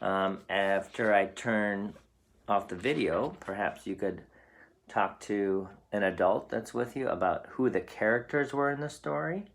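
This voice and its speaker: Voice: deep voice, a little slow. A storyteller reading a picture book aloud expressively for young children.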